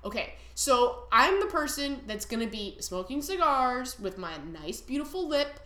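A woman's voice: high-pitched, big pitch swings, measured pace, bright, forceful tone. A woman trading playful comic banter, mock-indignant and boastful. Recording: echoey room.

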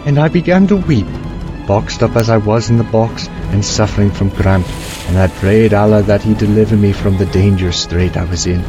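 A man's voice: fairly low pitch, normal speed, over music. A man narrating an audio drama in the first person as a young merchant, with theatrical, archaic storybook diction.

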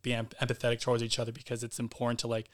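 A man's voice: medium pitch, monotone, very fast. A man, an actor and podcast guest, talking casually with many fillers and restarts.

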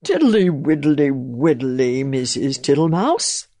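A woman puts on a greedy, unbothered storybook toad who croons his words in a lazy sing-song. The voice sits low with a gravelly edge and swoops about lazily. It is close and dry, with no room around it. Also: sing-song, takes it slowly, dark tone, no room sound, exaggerated voice. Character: greedy, easygoing male toad with a lilting call.

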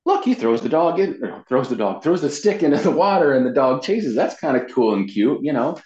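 A man's voice: mid-range voice, big pitch swings, fairly quick, darkish tone. A man giving casual explanations as an interview guest, often repeating words as he goes. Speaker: interview guest, web marketing consultant. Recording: roomy echo, dull muffled sound.

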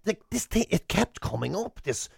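An Irish man with a high-pitched voice banters as a radio co-host. He spins exaggerated comic stories and teases as he goes, recorded close and dry. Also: big pitch swings, moderate pace, vocal fry, a little mellow, husky, dry recording. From Ireland. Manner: exaggerated comic storytelling, teasing.

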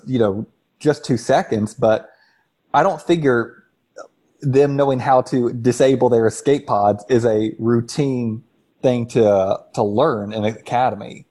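A man's voice: medium pitch, mellow. A man on a discussion panel, talking casually and piecing his thoughts together with some hesitation. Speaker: podcast panelist. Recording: slightly dull lo-fi microphone.

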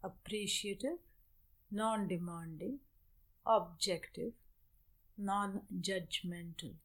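A woman teaches a guided meditation at a very unhurried pace. Her voice sits at a medium pitch. She gives measured instructions in short phrases, leaving long pauses between them. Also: breathy edge.

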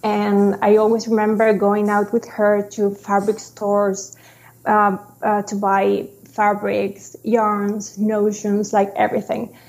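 Slow-speaking woman with gravelly tone. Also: medium pitch, a little mellow, poor audio. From Chile. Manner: slow, halting, with frequent restarts. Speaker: guest, designer. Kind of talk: casual interview.

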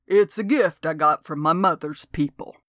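A man's voice: on the high side, a bit bright, no room sound, studio quality, lightly acted. A woman reading a cranky old country codger. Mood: suspicious. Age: older. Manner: rural drawl, slow and conspiratorial. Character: cranky old country man, suspicious and superstitious.

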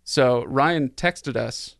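A man's voice: medium pitch, extremely slow, creaky, no room sound, studio quality. A man hosting a casual podcast chat, with a joking, teasing manner. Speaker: podcast host.

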